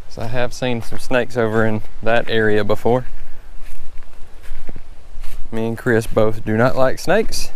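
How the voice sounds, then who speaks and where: A man's voice: mid-range voice, clean tone, low-quality recording. A young man, chatting casually as a fishing vlogger outdoors by a pond, recorded on a body-mounted action camera. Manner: chatty and relaxed, talks to the turtle. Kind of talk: casual outdoor vlog chat.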